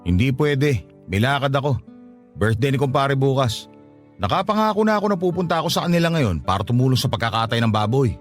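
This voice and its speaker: A man's voice: medium pitch, expressive, brisk, lightly acted. A man playing a callous, scornful middle-aged husband in a radio drama, dismissive and impatient, with a Filipino accent. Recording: faint music bed behind voice.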